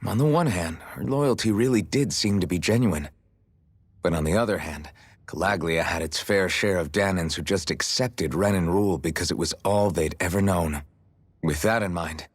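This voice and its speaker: An actor playing a thoughtful, fair-minded young swordsman in a fantasy video game, with a measured, reflective delivery. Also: low-pitched, breathy edge, no echo. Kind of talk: video game dialogue acting.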